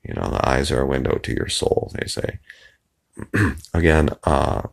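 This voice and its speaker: A man with a hoarse, raspy voice, rambling casually into his phone's microphone and trailing off with plenty of fillers. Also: very deep voice, unhurried. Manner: meandering, trails off with many fillers. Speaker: video blogger. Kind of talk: rambling casual monologue to camera.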